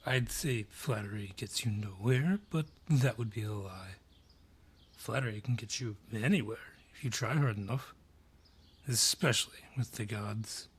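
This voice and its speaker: A man's voice: lowish voice, slightly rough. An actor voicing a haughty male dryad with a grudge in an audio roleplay, sardonic and theatrical, drawing out each taunt. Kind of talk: scripted audio roleplay acting.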